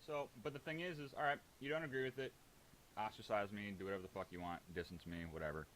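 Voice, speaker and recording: A man's voice: lowish voice, steady tone, fast, a bit bright, low-quality recording. A man in a heated voice-chat argument, blunt, defensive and swearing, on a hissy recording.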